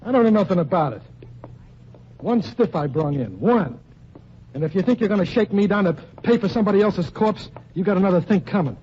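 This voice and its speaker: Man acting as a slangy tough gangster, higher voice, dark tone, old radio play, dull hissy sound. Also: animated, a little fast. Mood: defensive. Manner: blunt street slang and ungrammatical speech. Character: tough, defensive male nightclub gangster. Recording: dull lo-fi 1940s radio recording, faint hiss.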